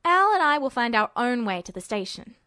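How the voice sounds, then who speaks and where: A woman's voice: a little high, big pitch swings, a little fast, pushed and bright, smooth, no room sound, clean studio sound. A woman voicing a stubborn, cocky teenage older brother with a casual, brusque manner in an audiobook story. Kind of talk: audiobook character voice.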